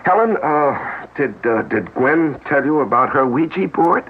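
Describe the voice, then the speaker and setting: Gravelly, very deep-voiced worried friend, stammering his offers of help; tinny old radio play. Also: expressive, heavily acted. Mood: amused. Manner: hesitant, halting, well-meaning. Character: concerned, hesitant friend, grown man. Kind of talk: 1970s radio drama acting.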